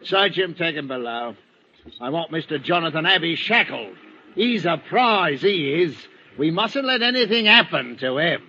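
A man's voice: a little high, lively intonation, moderate pace, bright, forceful tone. A man playing a sneering brutal ship's bosun. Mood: mocking. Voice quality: sneering, mocking, coarse. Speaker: ship's mate in a radio drama. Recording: muffled old radio recording with faint hiss.

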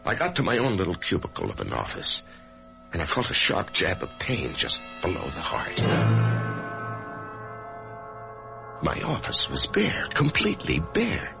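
Deep, gravelly, anxious office man. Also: wildly swooping pitch, over music, full character voice. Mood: bewildered. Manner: halting, emotional, swinging from brooding to agitated. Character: anxious middle-class office man haunted by death. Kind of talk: old-time radio drama acting, first-person narration and dialogue.

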